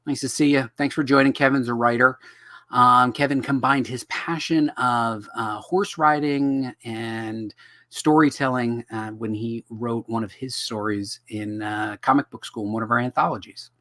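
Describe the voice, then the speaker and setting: Brief: middle-aged male host, slightly hoarse, jokey and self-deprecating, webcam sound. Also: medium pitch, steady tone, moderate pace, sharp tone. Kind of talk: casual livestream teaching. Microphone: video call webcam.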